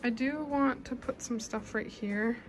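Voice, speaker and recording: A woman's voice: fairly high pitch, unhurried. A young adult woman chatting casually as a vlogger in a bedroom at home. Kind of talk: casual vlog chat.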